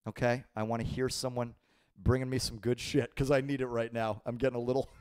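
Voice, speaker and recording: A man in his thirties hosting a live call-in stream from a small home studio, talking loosely with lots of swearing while his voice is going hoarse. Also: medium pitch, level tone, a little fast, dry recording. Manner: loose, profane, laughing. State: losing his voice. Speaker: livestream host. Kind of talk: casual live call-in chat. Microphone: handheld microphone.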